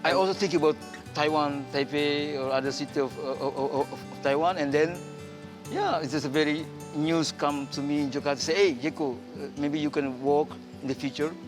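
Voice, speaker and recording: An Indonesian man in his forties speaks halting English, restarting his sentences often, his voice sitting a bit higher than most men's. Soft music plays clearly behind him in a TV studio. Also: fairly even intonation. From Indonesia, Papua. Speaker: guest choreographer. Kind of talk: casual interview chat. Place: indoor TV studio set.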